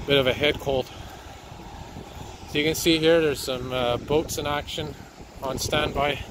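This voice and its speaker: A man, slightly hoarse from a cold, narrating as he walks by a flooded river with a handheld camera. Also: fairly high pitch. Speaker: citizen video reporter. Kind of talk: walking commentary. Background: street and water noise.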